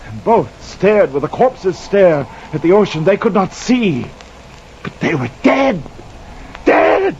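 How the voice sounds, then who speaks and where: A man's voice: high-pitched, sing-song, slow pace, a little mellow, full character voice. An actor playing a guilt-haunted sailor who narrates his cursed voyage in anguished, poetic radio drama style. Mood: terrified. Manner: theatrical, poetic, anguished declaiming. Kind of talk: 1950s radio drama acting. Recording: muffled vintage radio recording, faint noise, rain.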